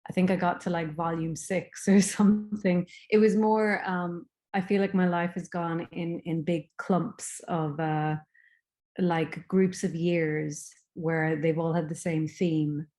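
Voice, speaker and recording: A woman's voice: lowish voice, steady tone, slow pace, mellow. A woman with an Irish accent, a guest on a casual interview, talking with many ums and restarts. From Ireland. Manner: hesitant, many ums and restarts, laughs easily.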